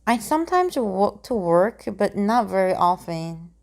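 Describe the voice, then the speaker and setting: Woman reading grammar drills; clear, measured, mid-pitched, pauses after each sentence. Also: big pitch swings, a little mellow. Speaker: example sentence reader. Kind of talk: slow, evenly paced reading of grammar example sentences.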